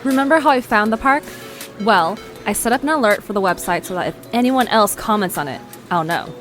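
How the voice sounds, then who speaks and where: A woman playing a bold, nosy young amateur journalist in an audio drama, with a brisk, confident, playful delivery. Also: medium pitch, lively intonation, fairly bright tone, soft background music, lightly acted. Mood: excited. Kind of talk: conversational audio drama acting.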